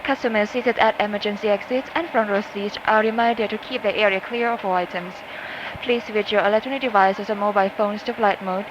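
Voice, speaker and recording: A woman's voice: steady tone, a little fast. Woman flight attendant on a dull plane intercom reading the safety spiel, lots of cabin noise. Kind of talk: scripted inflight safety announcement. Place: aircraft cabin. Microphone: cabin PA system heard through speakers. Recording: muffled cabin PA with loud engine noise. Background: loud aircraft cabin rumble.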